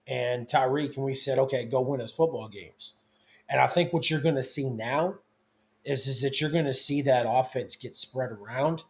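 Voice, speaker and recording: Hoarse young male host, casual football banter, restarts often, mid-range pitch. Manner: loose, conversational, with many restarts. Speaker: sports talk show host. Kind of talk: casual sports talk.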